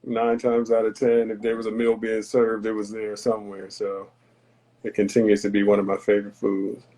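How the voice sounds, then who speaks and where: Man, podcast guest; low, warm tone, earnest and philosophical delivery. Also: monotone, moderate pace, poor audio. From Tarboro, North Carolina. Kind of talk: reflective conversational talk.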